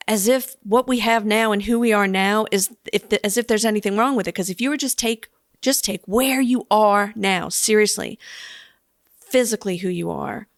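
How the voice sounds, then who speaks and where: A woman in her fifties with a gravelly, fry-tinged voice, chatting as a podcast guest. She muses out loud and often restarts her sentences. The sound is close and dry. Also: medium pitch, no room sound. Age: 50s. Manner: reflective, thinks aloud with restarts. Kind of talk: casual chat.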